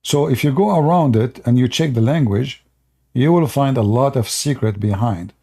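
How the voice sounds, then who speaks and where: A man with an Arabic accent explains at length on a live stream, circling back and repeating his points. His voice is husky with a gravelly edge and a dark, mellow tone. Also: medium pitch, moderate pace. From Middle East. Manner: unscripted explaining, with fillers and repetition.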